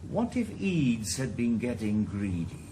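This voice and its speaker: A wry ex-detective. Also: male voice, medium pitch, big pitch swings, unhurried, warm tone, slight background noise. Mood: theorizing. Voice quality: dry, sardonic. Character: retired ex-detective, wry middle-aged Welshman. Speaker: private investigator. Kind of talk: 1980s radio drama acting.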